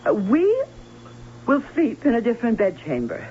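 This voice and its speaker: An actress playing a fearful, anxious aunt in an old radio drama, speaking in a halting, dramatic way. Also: female voice, fairly high pitch, wildly swooping pitch. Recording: muffled, hissy old radio broadcast.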